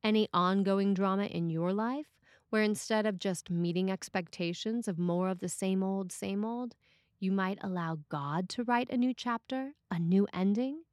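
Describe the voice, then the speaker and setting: A woman priest reading a scripted sermon in a measured, deliberate way. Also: mid-range voice, darkish tone, clear, resonant tone, dry recording, clean studio sound.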